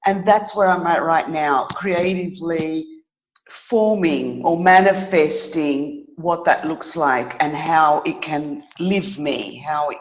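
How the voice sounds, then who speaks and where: A middle-aged woman telling her own story as an interview guest, reflective and thoughtful, often pausing to search for words. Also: low-pitched, a little hiss or hum, poor audio.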